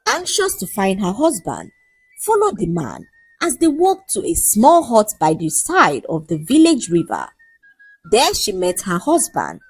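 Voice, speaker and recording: A woman storyteller reading a scripted folktale in an expressive, measured and dramatic way. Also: medium pitch, husky, no echo, rough recording.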